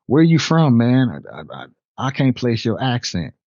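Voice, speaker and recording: A man's voice: mid-range voice, wildly swooping pitch, normal speed, a little mellow, dry recording, highs cut off, pitched above the performer's own voice. A man voicing a friendly, curious young male streetball player with a Louisiana accent and eager, repetitive street talk. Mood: puzzled. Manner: eager, repeating himself, laid-back street talk.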